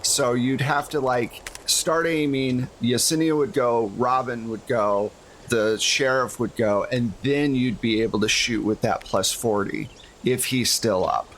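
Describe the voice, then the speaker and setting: A man's voice: medium pitch, steady tone, a little slow, a bit bright, slight background noise, rough recording. A man running a tabletop role-playing game as game master, explaining rules and scenes in a casual, conversational way. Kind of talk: casual tabletop actual-play narration.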